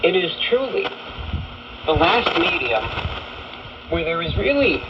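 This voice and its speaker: A man's voice: on the high side, very animated, a little slow, dull audio. A man hosting a radio talk show, speaking earnestly with frequent fillers and some emphatic stresses. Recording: broadcast heard through a shortwave receiver with static.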